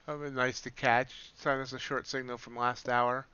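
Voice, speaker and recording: A man calls market moves on a live trading stream and thinks aloud as he corrects his own numbers. His voice is bright and smooth, with a slight rasp from hours of talking. The recording sounds a little dull and lo-fi. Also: medium pitch, level tone, moderate pace. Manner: thinking aloud, correcting himself mid-sentence. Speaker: streamer, day trader.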